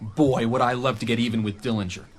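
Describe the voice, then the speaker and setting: A man playing a cocky, energetic video game whiz on a read-along record, speaking in an informal, eager way. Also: mid-range voice, fast talker, natural voice. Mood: eager, determined.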